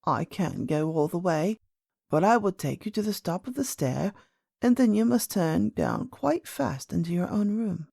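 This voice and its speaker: Ancient storybook grandmother queen, played by a woman: low, unhurried, gentle, enigmatic, softly creaky. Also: no room sound, clean studio sound, heavily acted. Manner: calm, measured, kindly, enigmatic. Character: very old, gentle, mysterious great-great-grandmother queen. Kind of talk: storybook character voice.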